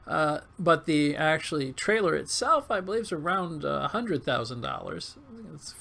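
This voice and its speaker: A man with a coarse, hoarse, failing voice giving casual, halting commentary over gameplay. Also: fairly high pitch, lively intonation, pushed and bright. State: hoarse, losing his voice. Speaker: gaming video host.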